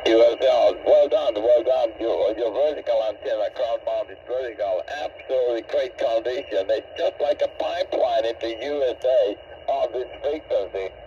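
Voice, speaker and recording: A man's voice: mid-range voice, normal speed, hardly any pauses, dark tone. An elderly man with a Finnish accent chatting as an amateur operator over a shortwave radio link. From Finland. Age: 70s or older. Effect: shortwave radio transmission. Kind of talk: ham radio contact chat. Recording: noisy muffled shortwave radio signal. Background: radio static.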